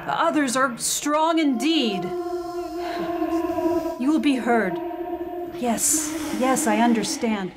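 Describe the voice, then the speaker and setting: A woman's voice: a little high, slow pace, pauses often, loud music behind the voice. A woman playing an entranced female spirit medium, solemn and trance-like, in old-time radio drama style.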